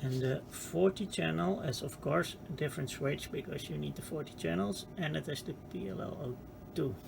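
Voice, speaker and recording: Male hobbyist presenter, strong vocal fry, unhurried and halting, casual how-to delivery, noisy recording. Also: on the high side. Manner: hesitant, halting, matter-of-fact. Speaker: hobbyist presenter of radio repair. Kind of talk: casual hands-on explanation. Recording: clear background noise.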